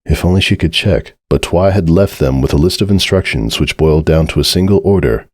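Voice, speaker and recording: A man's voice: a bass voice, lively intonation, fairly quick, no echo, studio quality. A man reading a scripted story as narrator, in a dramatic storytelling style.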